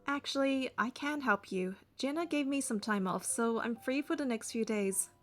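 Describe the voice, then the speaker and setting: A young adult woman reading game narration and dialogue aloud in an expressive storytelling style. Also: fairly high pitch, moderate pace, faint music behind.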